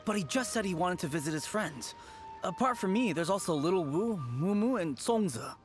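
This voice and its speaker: High-pitched, animated, kindly old fellow. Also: male voice, moderate pace, music in the background. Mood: relieved, nostalgic. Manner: earnest, nostalgic. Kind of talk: video game voice acting.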